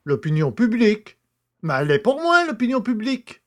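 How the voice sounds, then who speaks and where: A man's voice: sits high, very animated, normal speed, no echo. Casting: male actor as naive, stubborn ex-prisoner poacher, plain talker, audio drama, close dry recording. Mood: indignant.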